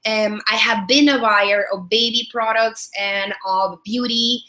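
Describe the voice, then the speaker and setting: A woman's voice: on the high side, fairly even intonation, unhurried, pushed and bright. Salvadoran woman leading a business webinar. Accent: Salvadoran Spanish. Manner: friendly, repeats words, frequent fillers. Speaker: product strategist and trainer. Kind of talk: webinar training.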